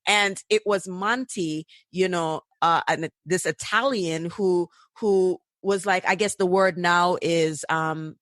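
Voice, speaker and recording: A woman's voice: lowish voice, slow pace, hardly any pauses, a bit bright, no room sound. A middle-aged woman with a Jamaican accent, hosting a podcast and telling stories in a chatty way full of fillers. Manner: chatty, storytelling, many fillers. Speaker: podcast host. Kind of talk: conversational interview.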